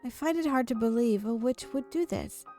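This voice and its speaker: An earnest young witch heroine from a visual novel, read by a woman. She has a mellow voice and a questioning, disbelieving way of speaking. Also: on the high side, lively intonation, a little fast, faint music behind.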